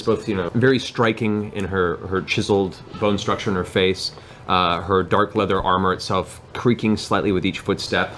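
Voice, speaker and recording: A man acting as game master, narrating a tabletop fantasy game in vivid, halting description. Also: sits low, fairly bright tone, slight background noise.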